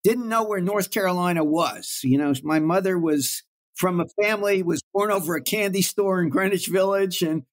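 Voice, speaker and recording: An older man from Brooklyn, telling stories about his career in a warm, reflective way as an interview guest. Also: sits high, moderate pace. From Brooklyn, New York. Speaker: guest oncologist. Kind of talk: storytelling in an interview.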